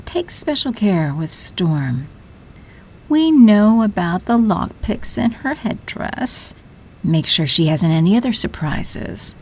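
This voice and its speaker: A woman's voice: mid-range voice, very animated, slow pace, darkish tone, slightly rough, full character voice. A woman playing a cold, commanding villainess in an audio drama, imperious and coolly menacing in her delivery. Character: cold, commanding villainess, telepathic leader of henchmen.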